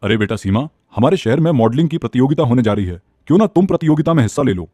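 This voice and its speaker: A man playing a supportive father of two daughters in a children's story, brisk and encouraging. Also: mid-range voice, expressive, warm tone, no room sound, lightly acted.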